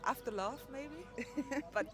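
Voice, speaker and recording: A woman answering a street interview outdoors, slow and thoughtful. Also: high voice, animated. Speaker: festival participant. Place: outdoor festival square. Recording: loud music outdoors.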